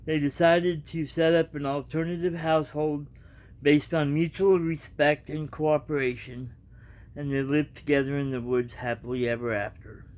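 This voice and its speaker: A man reading aloud and giving his reaction as a vlogger, in an informal, halting, rambling way. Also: on the high side, fairly even intonation, darkish tone, poor audio.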